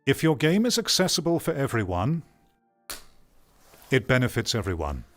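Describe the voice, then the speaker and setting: A man's voice: mid-range voice, big pitch swings, moderate pace, fairly bright tone, soft background music. A man giving opinionated commentary on an article, reading parts aloud and reacting in a blunt, dismissive, argumentative way. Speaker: video commentator.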